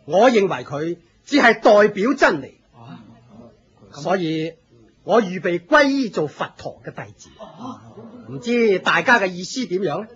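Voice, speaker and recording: A man's voice: high voice, big pitch swings, unhurried, pauses often, a bit bright, breathy edge, full character voice. A man with a Hong Kong Cantonese accent playing an aging religious leader, proud yet humble, in a theatrical Buddhist radio drama. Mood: hearty, declaiming. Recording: muffled lo-fi radio drama recording.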